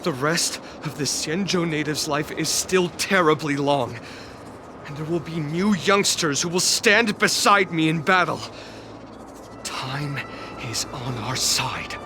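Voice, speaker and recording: An actor playing a weary, idealistic resistance fighter in a video game, speaking earnestly and with measured resolve. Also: male voice, on the high side, background noise. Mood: pained, determined. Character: stranded male warrior, weary, steadfast, idealistic resistance fighter. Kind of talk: dramatic video game voice acting.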